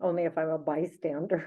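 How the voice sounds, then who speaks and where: Low-pitched woman over phone-like audio. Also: husky. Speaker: podcast co-host. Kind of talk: casual unscripted conversation.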